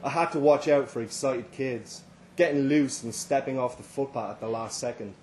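An Irish man narrates a short story in the first person at a live reading. His pitch sits in the middle of the male range, and there is faint noise behind him. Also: moderate pace, a little mellow. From Ireland. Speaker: story reader. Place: live reading at a London venue. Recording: live event recording, faint background noise.

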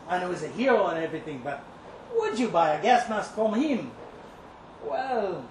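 A man performing a dramatic first-person story as a bitter, lovesick young kibbutz kitchen worker, brooding and sardonic, with dramatic pauses. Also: on the high side. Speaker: reader at a live literary event. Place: indoor live reading venue. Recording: roomy live event recording, clear background noise.

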